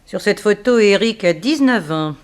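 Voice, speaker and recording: A woman's voice: medium pitch, animated, close to an everyday voice. Composed, proud woman under suspicion played by an actress. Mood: suspicious, reasoning. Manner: formal, measured, self-controlled. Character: composed, proud female companion under suspicion, coolly reasoning. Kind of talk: 1960s French radio drama acting.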